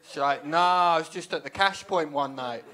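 A young man performing stand-up on a small comedy club stage, speaking into a handheld mic. His voice sits high for a man and rings bright and sharp. He delivers his lines with a wry, self-deprecating deadpan. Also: normal speed. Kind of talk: stand-up set. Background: audience laughter.

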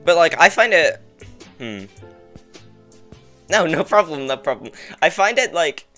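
Young English-raised man, podcast guest on a video call; rambly and loose, bright tone. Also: fairly high pitch, very animated, unhurried, soft background music. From England. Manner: rambling, heavy use of "like", frequent laughter. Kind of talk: casual chat.

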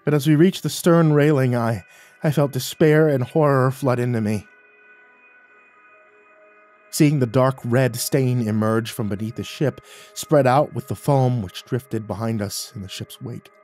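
A man narrating a first-person horror story in a tense, halting way with stammered restarts. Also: mid-range voice, darkish tone. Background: faint music.

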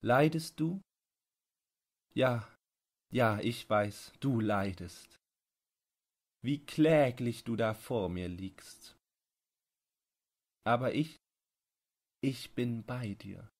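A man voicing a disturbed, lonely man in an audiobook, consoling his dog in a whining, plaintive way with drawn-out words. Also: mid-range voice, voice pushed up. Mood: anguished pity. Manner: slow, drawn-out, stammering consolation. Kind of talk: audiobook character dialogue.

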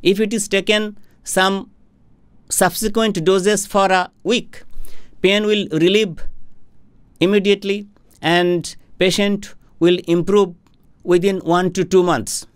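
A middle-aged man from India, a homeopathic doctor, explaining a health topic to camera in a formal, deliberate way, speaking into a studio microphone. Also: sits high, flat delivery, clean tone, no room sound. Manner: slow, deliberate, formal explaining with hand gestures. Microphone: studio microphone on a boom arm in front of him.

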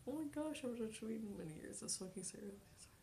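A young woman in her twenties chatting casually on a live stream from her bedroom, reading chat aloud, giggling often and dropping into soft near-whispers. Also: fairly high pitch, lively intonation, quick pace, vocal fry, slight background noise. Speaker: Twitch streamer. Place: small bedroom at home.

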